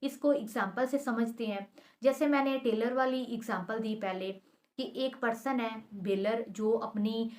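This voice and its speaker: A woman teaches law in an explanatory lesson style. She works patiently through each point step by step, restating it so that it is clear. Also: a little high, measured pace, darkish tone. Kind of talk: explanatory lesson, reading statute text aloud then explaining with examples.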